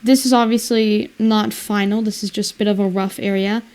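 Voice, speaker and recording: A woman's voice: medium pitch, fairly even intonation, normal speed, darkish tone. A woman narrating her video editing in a casual, rambling way, thinking aloud and exclaiming to herself. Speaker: video editor and creator narrating her screen work.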